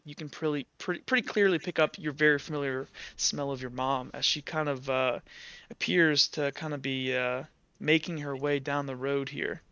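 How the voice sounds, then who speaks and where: A bright, clear-voiced man runs a tabletop game over a voice call. He sits a little high in pitch and feels out his descriptions haltingly. The audio is dry but slightly muffled, with faint music. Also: no room sound. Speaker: game master. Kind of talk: improvised tabletop game narration and rulings. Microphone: online voice call.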